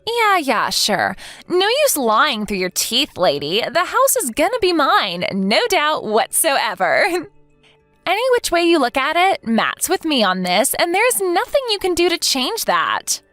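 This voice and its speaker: A woman's voice: sits high, wildly swooping pitch, unhurried, pushed and bright, no room sound, soft background music, full character voice. Woman as gloating young mistress, sneering, condescending, swooping intonation, dry close sound, story drama. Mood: dismissive gloating. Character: smug, taunting young mistress sneering at her rival.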